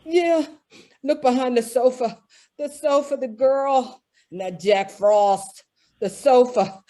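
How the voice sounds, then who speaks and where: Radio-play killer played by a woman: crazed with guilt, slow, halting, pleading, pitched fairly high. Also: warm tone. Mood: frantic confession. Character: guilt-crazed male murderer, breaking down as he confesses. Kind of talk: old-time radio drama acting.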